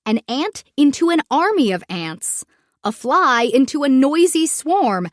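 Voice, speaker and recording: A woman reads a children's story aloud. Her voice is fairly high and swings widely in pitch as she takes the scripted narration at an unhurried pace, expressively and clearly meant for young children. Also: no echo, clean studio sound.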